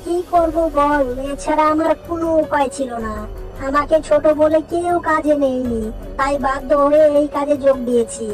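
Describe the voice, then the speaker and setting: A woman's voice: high voice, steady tone, faint music behind, natural voice. A woman voicing a poor young village boy who talks earnestly and anxiously. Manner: earnest, anxious, quick questions.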